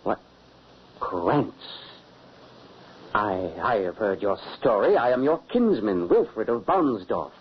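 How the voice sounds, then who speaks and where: A man's voice: lowish voice, big pitch swings, takes it slowly, long pauses, breathy edge, heavily acted. An actor playing a bluff, courtly huntsman father on the run, speaking formally and theatrically in an old-time radio horror drama. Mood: intense. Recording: muffled, hissy old radio recording.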